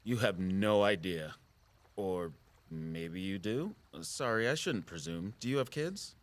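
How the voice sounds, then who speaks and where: An actor playing a gentle, sentimental middle-aged father and conservationist in an audio drama, talking in a thoughtful, considerate way. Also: sits low, animated, slow pace. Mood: tender, sheepish. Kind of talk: naturalistic audio drama acting.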